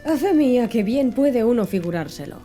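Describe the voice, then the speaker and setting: A woman's voice: a little high, animated, normal speed, dark tone, soft background music, natural voice. A woman reading as a blunt male harpooner. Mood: suspicious. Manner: blunt, questioning. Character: brash, curious male Canadian harpooner.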